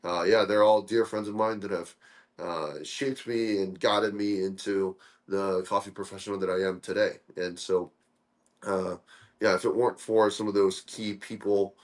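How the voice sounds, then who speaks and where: A young man from Korea, a guest in a casual interview, talking in a relaxed, friendly way with lots of "uh" fillers. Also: on the low side, normal speed. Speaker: guest, coffee dripper co-founder.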